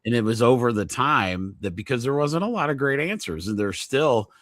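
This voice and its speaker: A man's voice: mid-range voice, lively intonation, normal speed, fairly bright tone, dry recording, clean studio sound. A man hosting a podcast as a chiropractor, chatting casually with a guest, laughing easily and using lots of fillers. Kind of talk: casual interview chat.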